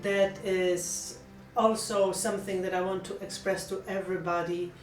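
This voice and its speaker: A middle-aged woman with a Polish accent, speaking in a gentle, earnest and reflective way in a heartfelt personal vlog. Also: mid-range voice, little pitch movement, moderate pace, echoey room, far from the mic, faint music behind, poor audio. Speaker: vlogger.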